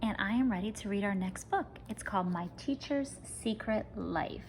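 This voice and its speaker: A woman reading a children's story aloud like a teacher, expressive and speaking straight to young listeners. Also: mid-range voice, normal speed, noisy background. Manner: expressive, addressing children directly.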